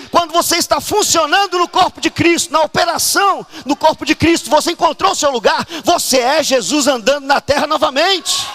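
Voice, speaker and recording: A man from Brazil preaching a fervent charismatic sermon at a church conference, often shouting and urging the congregation to act. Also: extremely high pitch.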